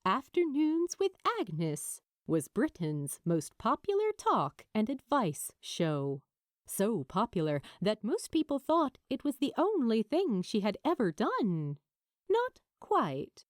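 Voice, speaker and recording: A woman narrating fiction as a dramatic storyteller, in a measured, deliberate way with emphatic pauses. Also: on the high side, huge pitch swings, no room sound, studio quality. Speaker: audiobook narrator.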